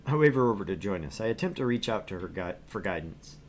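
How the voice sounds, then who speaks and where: A man's voice: on the low side, animated, fast talker, slight background noise. A man co-hosting a gaming stream, reading a visual novel aloud with casual asides.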